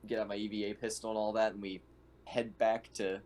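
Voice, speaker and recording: A man's voice: on the low side, flat delivery, fairly quick, darkish tone. A man playing a tabletop roleplaying game, chatting informally and swearing as he describes what his character does. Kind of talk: casual in-character game chat. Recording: faint background noise.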